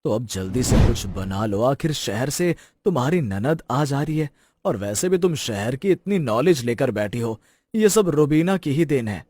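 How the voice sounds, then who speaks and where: A man's voice: mid-range voice, sing-song, moderate pace, a little mellow, a little hiss or hum, lightly acted. A man voicing a firm young village husband in a cartoon moral story. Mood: firm, reproving.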